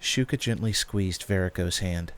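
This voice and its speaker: A man's voice: sits low, normal speed. A man working as narrator, reading a scripted fantasy story. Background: background music.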